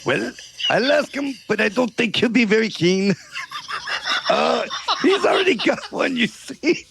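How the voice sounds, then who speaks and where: Man puts on a jeering French castle guard, fake thick accent, gravelly rasp, shouted taunts. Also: extremely high pitch, big pitch swings, background noise, full character voice. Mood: taunting. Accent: put-on exaggerated French. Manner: shouted insults, sneering drawn-out taunts. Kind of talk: amateur comedy script read-through.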